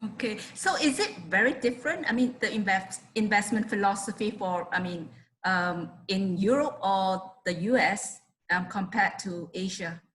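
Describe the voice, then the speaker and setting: Brief: woman interview host, mid-pitched, hesitant with fillers between words. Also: normal speed, a bit bright, a little hiss or hum, poor audio. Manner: hesitant, filler-heavy phrasing of questions. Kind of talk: interview questions.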